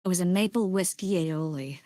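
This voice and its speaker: Lady in her 30s, firm opinions, a bit low, dry mic. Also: fairly quick, mellow, no room sound. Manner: emphatic, opinionated. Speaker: podcast guest. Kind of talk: casual chat.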